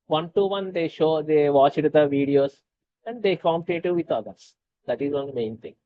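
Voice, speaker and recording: Halting Telugu-speaking man, fairly high voice. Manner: halting, many fillers and restarts. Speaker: conversation guest. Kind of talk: casual conversation. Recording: dull lo-fi sound.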